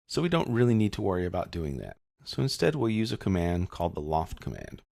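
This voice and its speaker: A man's voice: low-pitched, darkish tone, no room sound, studio quality. A man narrating an instructional screencast in an informal, step-by-step explanatory way. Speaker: software instructor.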